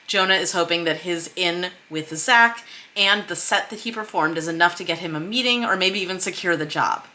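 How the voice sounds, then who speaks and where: Bright-voiced woman explaining, on the low side. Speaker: host of a filmmaking vlog series. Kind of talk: explanatory vlog to camera with screen share.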